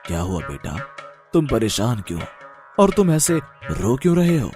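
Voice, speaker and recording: A man voicing a gentle, wise holy man in a moral-story cartoon, giving soothing, fatherly counsel. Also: mid-range voice, very animated, moderate pace, faint music behind, lightly acted. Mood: consoling.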